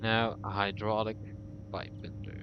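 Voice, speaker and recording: Low-voiced man narrating gameplay steps. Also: a little slow, muffled recording. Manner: relaxed, talks through each step as he plays. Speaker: gaming tutorial creator. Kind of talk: casual gameplay tutorial commentary. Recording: clear background noise under voice.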